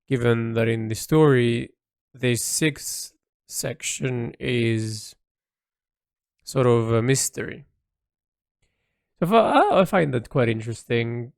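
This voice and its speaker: A man speaking a reflective audio diary as an artist, halting and introspective as he searches for words. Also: mid-range voice, vocal fry, dry recording, clean studio sound. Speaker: artist recording an audio log.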